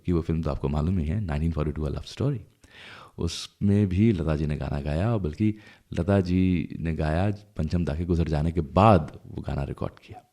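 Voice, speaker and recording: A man's voice: rumbling low, moderate pace, mellow. A man with an Indian accent hosting a radio show, talking to listeners in a conversational, reflective storytelling way about music.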